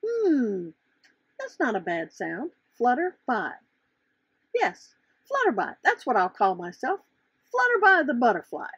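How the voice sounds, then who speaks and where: Lady doing a young girl butterfly from a kids book, innocent n wondering, voice swoops up high. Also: wildly swooping pitch, unhurried, a bit bright, slightly rough, no echo, highs cut off, heavily acted. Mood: pleased wonder. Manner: wondering, thinking aloud to herself. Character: curious, innocent young female monarch butterfly, easily worried. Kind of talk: children's storybook character reading.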